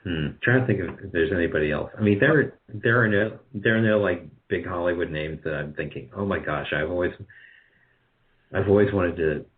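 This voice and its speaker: Deep-voiced man in muffled interview audio. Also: normal speed. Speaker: guest, radio drama producer. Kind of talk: casual interview chat. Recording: muffled, band-limited call audio.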